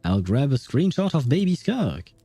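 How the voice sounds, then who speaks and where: A man giving casual livestream commentary on a game, musing aloud and pausing to think. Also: mid-range voice, sing-song, slightly rough, dry recording. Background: soft game music.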